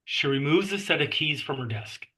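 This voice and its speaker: Bright, husky man reading stage directions. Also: fairly high pitch, animated, moderate pace. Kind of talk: scripted narration at a horror table read.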